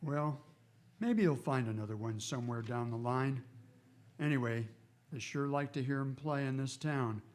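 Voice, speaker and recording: A man's voice: mid-range voice, moderate pace, a little mellow, natural voice. Man acting as stern, laconic Old West marshal. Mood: weary, wry. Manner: folksy, terse, matter-of-fact. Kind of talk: western radio drama acting.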